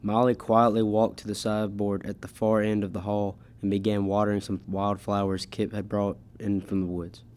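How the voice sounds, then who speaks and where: A man's voice: on the low side, little pitch movement, moderate pace, few pauses, a little mellow, slight background noise, natural voice. A teenage boy reading scripted storybook narration in an amateur school radio play. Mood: measured. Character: measured storybook narrator of a gothic tale.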